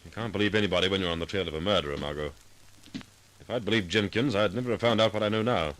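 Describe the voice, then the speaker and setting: A man's voice: low-pitched, fast, fairly bright tone, a little hiss or hum, low-quality recording. An actor playing a bold, cool-headed male sleuth in a clipped, authoritative 1940s radio drama style. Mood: resolute. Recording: vintage 1940s radio recording.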